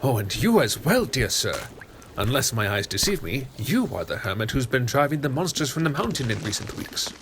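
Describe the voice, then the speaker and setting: Casting: male actor for pompous royal bird-folk ambassador, formal wording, highly animated pitch, fantasy audio play. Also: normal speed, close to an everyday voice. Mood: courtly. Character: courtly, flowery bird-man royal ambassador. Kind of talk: fantasy audio drama acting. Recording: noisy background behind the voice.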